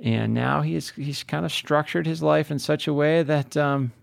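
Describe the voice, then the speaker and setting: A man's voice: fairly high pitch, unhurried, vocal fry, no room sound. A man hosting a podcast, introducing his guest in an upbeat, chatty way with many fillers. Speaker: podcast host. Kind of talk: casual podcast interview.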